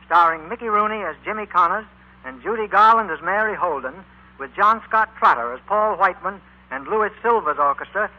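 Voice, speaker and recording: A man's voice: high voice, sharp tone. A man announcing and narrating a 1940s radio play in a formal scripted style from a theater stage. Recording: dull 1940s radio recording with music. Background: orchestra music.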